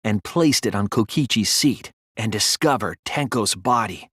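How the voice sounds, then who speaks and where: A man voicing an earnest teenage boy detective in a dramatic anime-style video game, hesitant at times and forceful when arguing. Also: on the low side, lively intonation, measured pace, slightly rough, no echo, clean studio sound, full character voice. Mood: tense, questioning.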